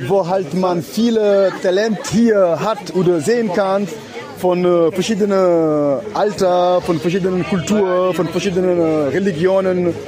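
A man from Mauritania, raised in Senegal. He is an event host answering interview questions, halting and searching for words with frequent fillers. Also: high-pitched, crowd noise. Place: outdoors at a city event. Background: loud music.

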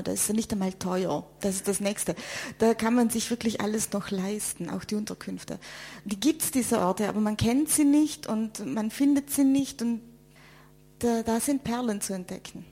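Gravelly woman. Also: medium pitch, normal speed. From South Tyrol, Italy. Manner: lively, repeats words when searching for them. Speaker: panel guest. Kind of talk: casual discussion.

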